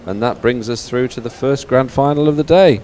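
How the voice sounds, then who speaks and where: A man's voice: medium pitch, a little fast, a little mellow. A man anchoring live sports commentary, conversationally announcing results and what is coming up.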